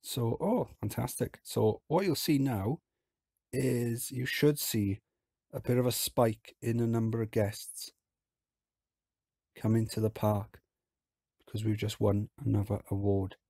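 A man with a hoarse, croaky voice from illness, chatting casually as he talks through a theme park game. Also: mid-range voice, measured pace, pauses often, no room sound, clean studio sound. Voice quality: husky, croaky. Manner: relaxed, chatty, explains as he plays. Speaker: game video host.

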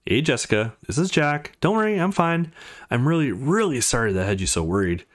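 A man voicing an apologetic, reassuring young male student in a visual novel, in an earnest, expressive way. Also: mid-range voice, fairly quick, natural voice. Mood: reassuring, sorry.

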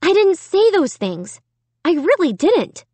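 A woman's voice: extremely high pitch, very animated, slow pace, mellow, no echo, voice pushed up, full character voice. A woman voicing a flustered young girl in a storybook, sputtering and pleading her innocence. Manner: sputtering, pleading when accused.

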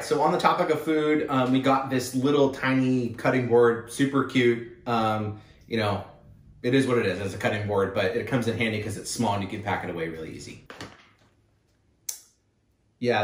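A man's voice: medium pitch, normal speed. A young man hosting a casual, upbeat product haul vlog, with plenty of fillers. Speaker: channel host. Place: indoor room with wood-panel wall. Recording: roomy echo, mic at a distance.

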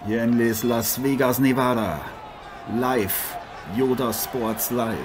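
A man's voice: medium pitch, fairly even intonation, takes it slowly, close to an everyday voice. A man playing an admiring, chummy ex-champion boxer commentating a fight live in a radio play, repeating his phrases and addressing listeners as friends. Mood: impressed, lively. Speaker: sports commentator. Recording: noisy arena crowd behind the voice.

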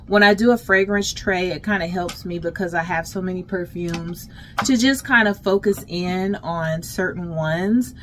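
A middle-aged American woman chatting casually and emphatically to her viewers as a lifestyle vlogger, in an unhurried way. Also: lowish voice. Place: closet at home. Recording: clear background noise, home vlog.

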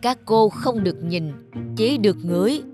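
An actor playing a wise old village man with measured authority in an animated folktale. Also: sits high, music in the background. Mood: calm, authoritative.

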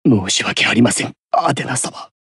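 A man's voice: medium pitch, moderate pace, fairly bright tone, slightly rough, no room sound, clean studio sound. A man playing a noble, devoted young knight in an anime game, earnest and solemn. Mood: solemn devotion. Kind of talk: anime game battle acting.

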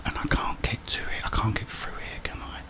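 Casting: man, 30s, constant breathy whisper, hushed muttered gameplay commentary for ASMR. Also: a little fast. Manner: hushed, muttering to himself with tag questions. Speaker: ASMR gaming streamer.

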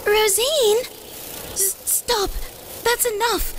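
A woman's voice: fairly even intonation, extremely slow, noisy background, full character voice. A woman voicing a frightened young village girl, pleading urgently in a dramatic comic dub. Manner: urgent, high exclamations. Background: music.

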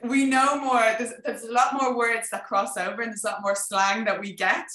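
Bright-voiced Dublin Irish woman. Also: on the high side, normal speed. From Ireland. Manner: chatty and animated, gestures, frequent "you know". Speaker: guest, business coach. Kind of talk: casual video-call interview. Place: home office, indoors. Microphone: video call. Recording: echoey room.

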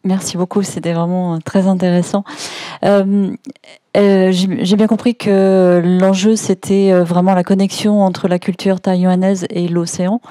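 A woman's voice: fairly low pitch, level tone, moderate pace, dark tone, dry recording. A woman in the audience politely asks a formal question, hesitating often. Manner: polite, hesitant with frequent fillers. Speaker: audience member asking a question.

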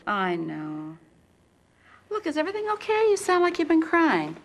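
A woman playing a catty, sharp-tongued mentor who sounds sugary and snide. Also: high-pitched, huge pitch swings, moderate pace, full character voice.

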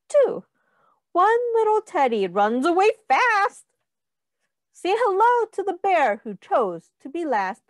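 Woman, children's read-aloud, high voice, very lively intonation, encouraging, teacherly, prompting questions. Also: dry recording, clean studio sound. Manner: sing-song, encouraging, child-directed, asking questions. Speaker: teacher reading a picture book aloud.

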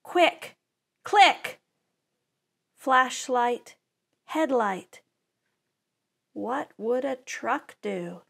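A middle-aged woman reading a children's picture book aloud to preschoolers in an expressive, deliberate storytelling manner. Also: fairly high pitch, creaky. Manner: animated, deliberate storytelling with dramatized sound words.

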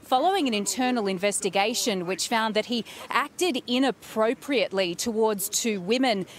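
A female news anchor reading a bulletin in a crisp, formal way. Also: fairly high pitch, normal speed.

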